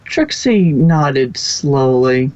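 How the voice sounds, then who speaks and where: A man's voice: mid-range voice, sing-song, drawn-out words, a little mellow. A man narrating fan fiction from a script in an informal way, with occasional stumbles. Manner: informal, stumbles and self-corrects. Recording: dull lo-fi recording.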